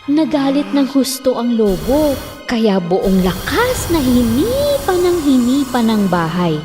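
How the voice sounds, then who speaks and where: Isang babaeng nagsasalaysay ng nakasulat na kuwentong pambata, may alingawngaw at mahinang musika sa likod. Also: female voice, sits high, big pitch swings, slow. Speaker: storyteller. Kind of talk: scripted children's fairy tale narration. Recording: long reverb, faint music behind.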